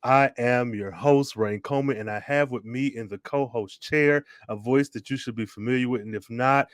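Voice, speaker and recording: A man's voice: medium pitch, normal speed, no room sound, studio quality. A man hosting a casual podcast chat, laid-back, laughing often and swearing casually. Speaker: podcast host.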